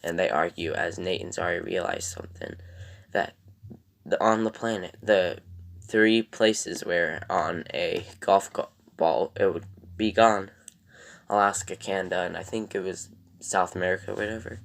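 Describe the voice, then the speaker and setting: A man with a raspy voice casually recapping a TV episode as a reviewer, in a rambling, halting way. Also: low-pitched, a bit bright.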